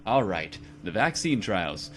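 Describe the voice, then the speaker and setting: A man talking his way through a horror game in a lively, bouncing voice, joking at his own expense and chatting to his character. Clear game music plays behind him. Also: on the low side, measured pace. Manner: chatty, self-mocking, talks to the game character. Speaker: let's play gamer. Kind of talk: casual game commentary.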